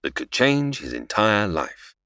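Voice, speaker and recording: A deep, extremely animated storyteller played by a man, a senior angel in an audio drama. He explains patiently and bristles easily, in a dry, close recording. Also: a little slow, clear, resonant tone, dry recording, exaggerated voice. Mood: storytelling. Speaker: narrator. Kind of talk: scripted audio drama narration.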